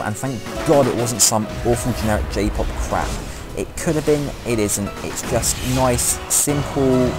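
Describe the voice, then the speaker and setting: A man presenting an opinionated gaming countdown as a voice-over commentary. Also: mid-range voice. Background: music.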